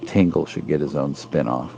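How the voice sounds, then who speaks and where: A man talking casually as a panelist on a podcast, somewhat halting as he thinks aloud. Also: rumbling low, gravelly, noisy background. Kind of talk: casual discussion.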